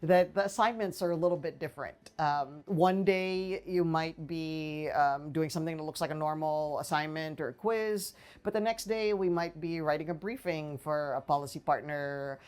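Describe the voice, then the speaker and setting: A woman's voice: deep voice, normal speed, few pauses, darkish tone. A woman in her forties from the Philippines, a political science professor answering interview questions in a small office on a lapel mic, thoughtful and full of fillers and restarts. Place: small office with bookshelves, indoors.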